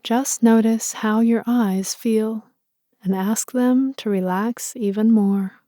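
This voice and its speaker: A woman leading a guided meditation in a slow, soothing and gentle way. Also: fairly high pitch, fairly even intonation, no room sound, studio quality.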